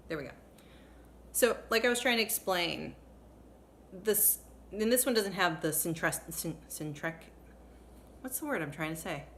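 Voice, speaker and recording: A woman with a slightly low voice talks in a casual, unscripted vlog style. She grew up speaking both English and Portuguese, and she wanders chattily from point to point. Faint background noise sits behind her. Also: fairly bright tone. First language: English and Portuguese from early childhood. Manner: chatty, digressive, chuckles while talking. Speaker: vlogger. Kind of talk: casual, unscripted explaining to camera.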